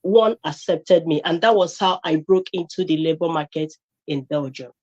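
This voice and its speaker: Nigerian woman, low voice, dull audio. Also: moderate pace, darkish tone. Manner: emphatic, fillers and restarts. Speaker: guest. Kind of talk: live-stream interview.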